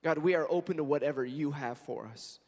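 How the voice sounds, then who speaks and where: A man in his forties, a pastor, preaching a sermon in an earnest, conversational way and telling stories, his voice hoarse and scratchy. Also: on the high side, fairly quick, a little mellow. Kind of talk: sermon with prayer and scripture reading. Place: church sanctuary. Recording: long reverb of a large church hall.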